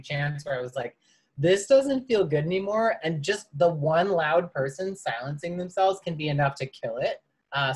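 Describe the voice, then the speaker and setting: A person from Wisconsin speaking loudly and enunciating every word clearly in an earnest workshop discussion on a video call. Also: mid-range voice. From Madison, Wisconsin, USA. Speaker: workshop participant.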